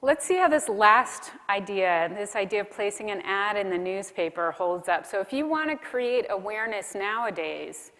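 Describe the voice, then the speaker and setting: A woman speaks as a keynote presenter, with a mid-pitched voice that carries through a big room with long reverb. She explains her points deliberately and sets off key phrases with emphatic pauses. The delivery is that of a prepared conference talk. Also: fairly bright tone. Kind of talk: conference presentation on marketing to a live audience. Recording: reverberant hall sound.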